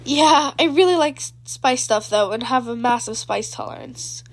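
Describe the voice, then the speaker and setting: Chatty reckless teen boy played by a woman, high, eager and cheerful, for audio fan fiction. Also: normal speed. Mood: defensive, eager.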